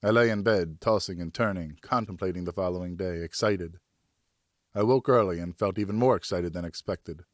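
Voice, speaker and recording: A man narrating a historical novel in the first person, with expressive, deliberate storytelling. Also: sits low, dry recording, clean studio sound. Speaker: audiobook narrator, professional voice actor.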